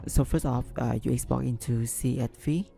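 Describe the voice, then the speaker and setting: A man walks through a software tutorial at his desk, his voice gravelly and dark in tone. He hesitates often, filling gaps with ums and restarting phrases, speaking English as a non-native. Faint music plays underneath. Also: mid-range voice, steady tone, normal speed. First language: not English. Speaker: business analyst tutorial host. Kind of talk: screen-recorded software tutorial.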